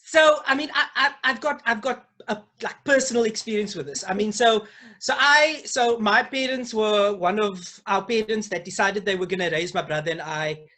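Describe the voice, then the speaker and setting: A young man, a guest in a casual video call chat, speaking deliberately and repeating words before making his point. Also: high-pitched, sharp tone. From South Africa. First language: Afrikaans as mother tongue, English first language. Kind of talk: casual conversation. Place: small indoor room.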